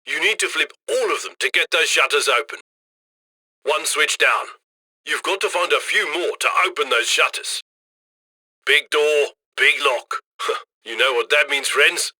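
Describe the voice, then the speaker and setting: Video game criminal handler: upbeat, cocky male, high-set and forceful, very dry close sound, slick conversational mission directions. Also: unhurried, breathy edge, dry recording, exaggerated voice. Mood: confident, instructing. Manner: slick, conversational, egging the crew on.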